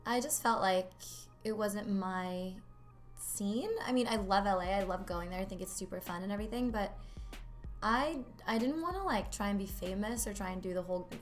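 A woman's voice: medium pitch, moderate pace, soft background music. A woman in her twenties from Norway, chatting casually as an interview guest, with lots of fillers. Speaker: guest, local singer.

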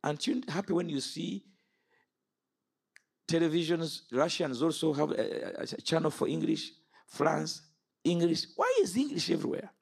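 Ugandan-born preacher guy in his fifties, gravelly husky voice, swings way up and down. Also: on the high side, animated, moderate pace. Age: 50s. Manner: impassioned, rises and falls sharply. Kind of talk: sermon.

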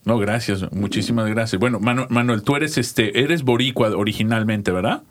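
A man hosts a radio talk show in an upbeat, casual way. His voice sits low, and he often pauses on fillers. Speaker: radio host.

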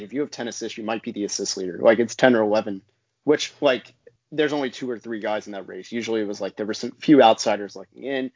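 A man's voice: on the low side, no echo. A man co-hosting a casual basketball podcast, talking in a conversational way full of "I mean" and "like". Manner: conversational, many fillers like "I mean" and "like". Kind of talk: casual sports analysis chat. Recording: dry, slightly dull lo-fi audio.

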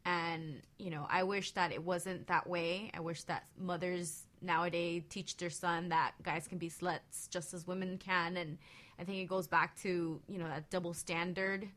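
A woman's voice: lowish voice, flat delivery, measured pace, a bit bright. A woman on a radio panel chatting casually in a rambling, loosely phrased way. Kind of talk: casual chat.

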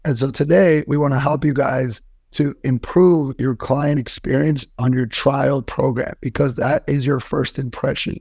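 A man with a hoarse, scratchy voice, co-hosting a podcast and giving upbeat, coach-like motivational talk. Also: medium pitch, a little mellow, dry recording, clean studio sound. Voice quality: scratchy, gravelly. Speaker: podcast co-host.

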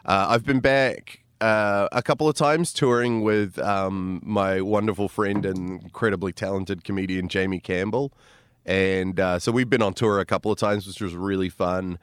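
A man's voice: sits low, steady tone, normal speed, clear, resonant tone, no echo, clean studio sound. A man, a comedian chatting as a podcast guest, rambling and self-deprecating with lots of fillers. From New Zealand. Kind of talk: casual interview chat.

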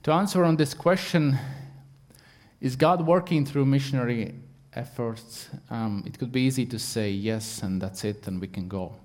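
A man preaches with a Latvian accent, searching for words in English and filling pauses with "um" and "uh." His delivery is earnest and plain, at an ordinary middle pitch for a man. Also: a little mellow. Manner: halting English with many fillers, earnest. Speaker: guest preacher. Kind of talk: sermon.

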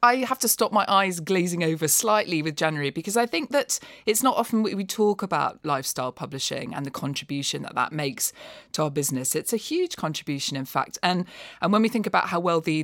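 A woman's voice: deep voice, a bit bright, dry recording, studio quality. A woman giving a conversational, articulate and discursive review of books as an expert guest. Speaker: non-fiction books expert, podcast guest.

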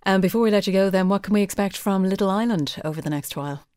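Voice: mid-range voice, quick pace, a little mellow, clean tone, dry recording, clean studio sound. An interviewer hosting a book show, asking engaged, conversational questions. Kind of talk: radio interview.

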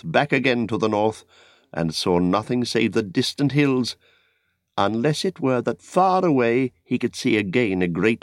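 A male audiobook narrator with a lowish voice and a very unhurried delivery. He tells an epic tale solemnly and dramatically, in a close, dry studio sound. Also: no room sound. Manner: measured, solemn storytelling.

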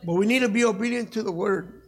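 A middle-aged man with a raspy voice, preaching a sermon in a church as a guest evangelist, passionate and conversational, joking with the congregation. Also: high-pitched, fast talker. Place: church sanctuary.

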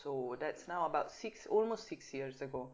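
A woman's voice: low voice, lively intonation, moderate pace, darkish tone. A woman in her thirties speaks with a Swiss Italian accent flecked with Scottish sounds. She answers questions in a frank, chatty way and laughs easily. The recording is a little dull and lo-fi. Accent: Swiss Italian, with a touch of Scottish. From Italian-speaking Switzerland. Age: 30s. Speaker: guest, jiu-jitsu blue belt. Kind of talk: casual interview answers.

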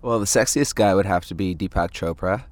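A man in his late twenties chatting casually as an interview guest, thoughtful and halting in his phrasing. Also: deep voice, no room sound. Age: late 20s. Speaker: indie band frontman, interview guest.

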